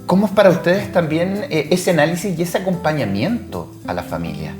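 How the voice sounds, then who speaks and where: A man's voice: on the high side, expressive, a little fast. A man with a Chilean accent co-hosting a television interview, commenting and asking questions conversationally. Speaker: TV show co-host. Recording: roomy echo, music clearly behind. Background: background music.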